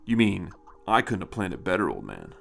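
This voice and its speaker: A man's voice: sits low, wildly swooping pitch, a little fast, gravelly, a bit bright, voice pushed down, full character voice. A man voicing a ruthless, fanatical space colonel in an audio story, menacing and contemptuous. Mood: smug, sneering. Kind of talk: audio short story character.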